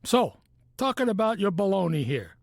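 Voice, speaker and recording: A retired mobster played by a man talks in a dry, close recording with lively swings of pitch. He is friendly and slow on the uptake, rambling through streetwise schemes with a faint threat underneath, in comedy radio-play style. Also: gravelly, no echo, heavily acted. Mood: coaxing, shady. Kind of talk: comedy audio drama acting.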